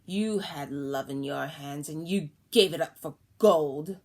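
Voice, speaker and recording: A woman voicing a fierce, withered old woman who loudly scorns a queen in an audiobook. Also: low-pitched. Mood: scornful. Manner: loud, scornful, drawn-out accusing. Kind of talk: audiobook character voice.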